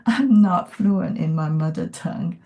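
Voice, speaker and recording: A woman from Hong Kong, whose first language is not English, speaking in a thoughtful podcast conversation with many pauses and fillers. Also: on the low side, mellow. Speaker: podcast guest. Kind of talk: reflective conversation.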